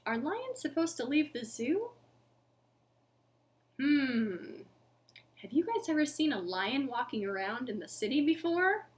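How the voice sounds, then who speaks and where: A young woman hosting a children's storytime, speaking to little ones in an animated, deliberate storytelling manner. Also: high voice. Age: young adult. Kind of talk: children's storytelling and action songs. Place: living room at home.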